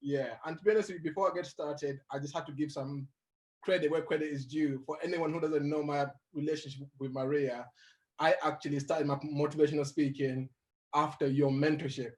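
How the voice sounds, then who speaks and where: A young adult man who grew up in Uganda, telling his life story as a guest in an interview, animated and laughing as he goes. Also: a little high, brisk, low-quality recording. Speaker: guest motivational speaker.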